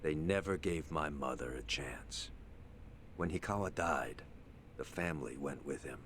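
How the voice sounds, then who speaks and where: An actor playing a bitter, vengeful son of a crime family in a game cutscene, measured and contemptuous. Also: male voice, a bit bright. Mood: cold, bitter. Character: bitter, vengeful, cold-hearted son of a ruined yakuza family. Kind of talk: dramatic video game cutscene monologue. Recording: rumbling background noise.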